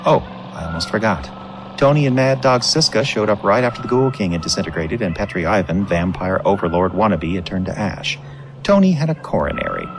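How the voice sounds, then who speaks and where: A man's voice: lowish voice, background noise. A man playing a wry, wisecracking monster-hunting reporter, narrating a radio drama in a sardonic, chatty, mock-formal way. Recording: music behind the voice.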